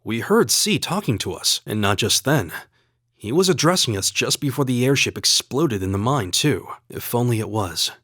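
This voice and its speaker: An earnest young male student warrior from a video game. His delivery is measured and sincere with a formal turn of phrase. The pitch sits mid-range but moves expressively, and the sound is close and dry. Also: animated, fairly bright tone, husky, dry recording. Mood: serious. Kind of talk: English video game voice acting.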